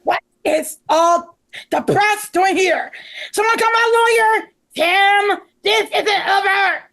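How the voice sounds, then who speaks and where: Woman as a very high-voiced crooked tycoon villain. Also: takes it slowly, a bit bright, heavily acted. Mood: defiant, rattled. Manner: indignant, defiant. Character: crooked, blustering male hotel tycoon villain.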